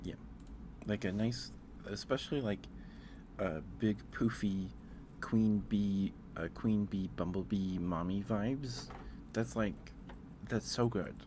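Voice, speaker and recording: A man with a low voice talks casually, as on an ASMR livestream. He thinks aloud, pausing and hedging his way through his thoughts. Clear ambient noise sits behind him. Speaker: streamer.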